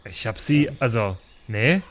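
A man's voice: on the low side, animated, measured pace, a bit bright. A man chatting casually as a player in an improvised tabletop role-play show.

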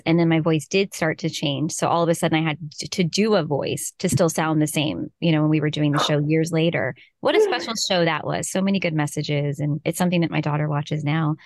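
A woman in her thirties talks with a slightly low voice, chatty and quick to laugh. She is recorded in a small home closet on a voiceover setup, and the sound is very dry with almost no room around it. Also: no echo. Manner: warm, chatty, laughs often. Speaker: actress and voice actor, guest. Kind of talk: casual interview chat.

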